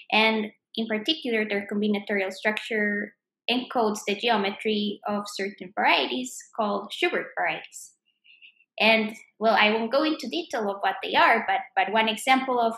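A Colombian woman in her thirties speaks with a Colombian Spanish accent at a middling pitch. She talks carefully, restarting phrases as she thinks aloud. Her voice is picked up by a webcam in a home room that gives it a noticeable echo. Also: fairly bright tone. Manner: thoughtful, self-correcting, frequent restarts. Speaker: mathematician. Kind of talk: recorded interview answers. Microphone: webcam at desk distance.

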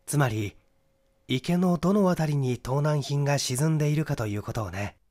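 A man's voice: fairly high pitch, expressive, normal speed, darkish tone, natural voice. A man voicing a clever, confident young amateur detective who explains his deductions methodically in a mystery audiobook. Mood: methodical, self-assured. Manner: explanatory, methodical, rhetorical questioning. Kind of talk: Japanese mystery audiobook acting.

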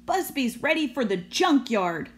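A woman's voice: high voice, big pitch swings, unhurried. A woman reading a storybook aloud, voicing a plain-spoken male bus driver. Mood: resigned.

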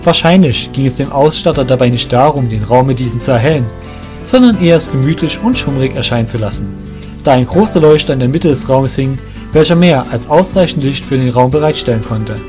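A man's voice: medium pitch, expressive, brisk, over music. A man reading scripted narration for a fantasy audio drama.

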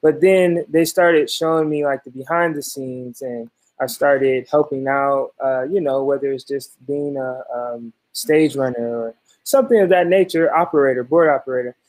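A man's voice: mid-range voice, moderate pace, hardly any pauses, darkish tone, low-quality recording. A man in his thirties, a sound designer and producer, speaking casually with many fillers on a video call. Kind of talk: panel discussion on video call. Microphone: webcam on video call.

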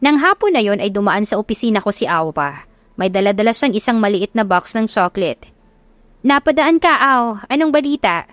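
A woman's voice: mid-range voice, animated, brisk, no echo. A woman with a Filipino accent reading a scripted first-person story as an audiobook narrator.

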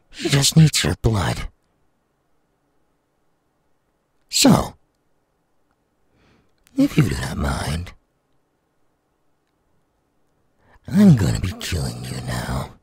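A man playing a menacing, sadistic demon villain in an anime audio roleplay, taunting in a raspy, gravelly voice. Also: rumbling low, huge pitch swings, no echo, heavily acted. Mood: cold, menacing. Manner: slow, taunting, drawn-out. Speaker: voice actor.

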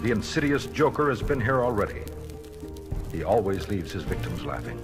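A man's voice: lowish voice, very animated, moderate pace. An actor playing a grave, authoritative male superhero crimefighter in a record radio drama, speaking formally and in clipped phrases. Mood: serious, formal. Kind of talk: radio-drama acting on a children's story record. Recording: vintage story record with dramatic music.

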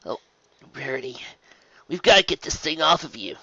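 A man's voice: on the high side, big pitch swings, normal speed, vocal fry, pushed and bright, breathy edge, dry recording, lo-fi sound, voice pushed up, heavily acted. A man voicing a young male dragon in a storybook reading, earnest and emotional, with easily wounded pride. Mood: concerned.

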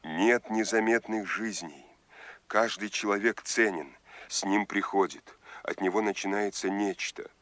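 An actor playing a grave voice from the future, solemn and measured, in Soviet radio drama style. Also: male voice, fairly low pitch, a bit bright, no echo.

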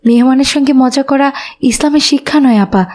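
An earnest, pious little cartoon girl voiced by a woman, very high in pitch. She speaks dutifully and righteously, as if teaching right from wrong. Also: fairly quick, darkish tone, natural voice. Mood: reproachful, earnest. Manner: earnest, moralizing. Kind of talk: children's cartoon voice acting.

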